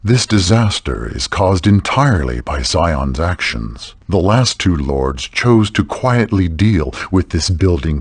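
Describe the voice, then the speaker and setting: A man's voice: low voice, husky, no echo, heavily acted. A man voicing a grave, wise old lord in a fantasy game, speaking in a solemn, formal manner.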